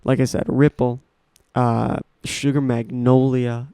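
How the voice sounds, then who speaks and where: Gravelly young man rambling on a podcast. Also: medium pitch, level tone, slow, dark tone, dry recording, studio quality. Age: young adult. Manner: rambling, enthusiastic, restarts often. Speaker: podcast host. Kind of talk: casual solo talk about music.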